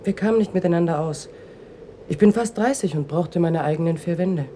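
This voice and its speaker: An actress playing a reserved, bereaved daughter of almost thirty in a German radio crime drama, speaking in short, matter-of-fact sentences. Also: female voice, fairly low pitch, fairly quick, mellow, slight background noise, close to an everyday voice. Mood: subdued. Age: young adult.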